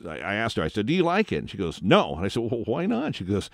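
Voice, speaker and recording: Middle-aged guy hosting morning radio, low voice jumping around a lot, dry studio. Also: wildly swooping pitch, fast, vocal fry, dry recording. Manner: rambling, stumbling restarts. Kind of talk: radio interview chat.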